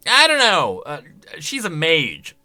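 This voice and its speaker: Male gaming lore commentator: lively swinging pitch, bright forceful tone, conversational and speculative, faint music behind. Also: takes it slowly. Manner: conversational, speculative, rhetorical questions. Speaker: video game lore commentator. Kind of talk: casual reaction commentary.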